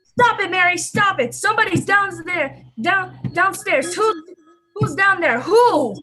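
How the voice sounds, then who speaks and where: Casting: impatient, frightened dad for radio drama, played by a woman; very high, bright, swinging, exclamatory delivery. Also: animated, measured pace, soft background music, full character voice. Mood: frightened, exasperated. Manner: urgent, exclamatory, demanding. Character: Mr. Morris, alarmed and impatient father of a young girl. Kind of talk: radio play acting.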